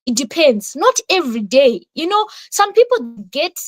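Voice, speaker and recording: A woman's voice: sits high, very animated, moderate pace, dry recording. Emphatic woman speaker, dry close sound. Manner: emphatic, repetitive, rhetorical questions. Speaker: guest speaker. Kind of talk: motivational finance talk.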